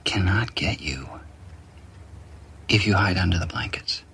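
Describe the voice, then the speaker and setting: A middle-aged man playing a gentle, playful father in a naturalistic TV drama, tender and coaxing. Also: deep voice, animated, unhurried, creaky, breathy edge, full character voice. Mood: soothing. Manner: tender, coaxing, often hushed. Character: gentle, playful father soothing his frightened child.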